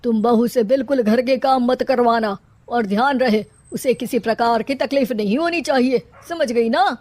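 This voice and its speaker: A woman's voice: fairly high pitch, normal speed, a little mellow, dry recording, natural voice. A woman voicing a nervous, cowardly middle-aged father-in-law in a cartoon, flustered and dramatic. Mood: alarmed.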